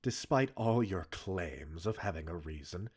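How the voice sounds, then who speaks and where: Man acting as a deep, husky, stern commander. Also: expressive, darkish tone, full character voice. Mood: angry, wounded concern. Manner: formal, measured, clipped reprimand. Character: stern male military commander, cold, scolding a reckless subordinate. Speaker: voice actor in a roleplay audio. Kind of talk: scripted ASMR roleplay monologue.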